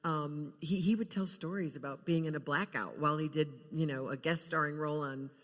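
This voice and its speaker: An older woman telling anecdotes on a panel, halting and repeating words as she goes. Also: low-pitched, fairly bright tone. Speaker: guest actor sharing film memories. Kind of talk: panel reminiscence, anecdotal storytelling. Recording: echoey hall with long reverb.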